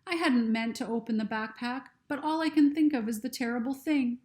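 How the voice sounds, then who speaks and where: A woman's voice: fairly high pitch, a little fast, darkish tone, voice pushed up, lightly acted. Woman voicing earnest, tearful girl Lucy. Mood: upset.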